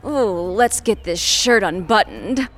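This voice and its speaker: A woman's voice: medium pitch, expressive, slow, fairly bright tone. An actress playing a brash, flirty, self-assured imaginary woman in an audio drama.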